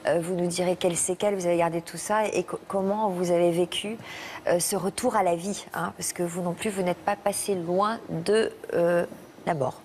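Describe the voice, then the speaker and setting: A woman hosting a TV talk show, asking brisk guiding questions of her guests. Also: lowish voice, fairly even intonation, a little mellow, a little hiss or hum. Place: TV studio set.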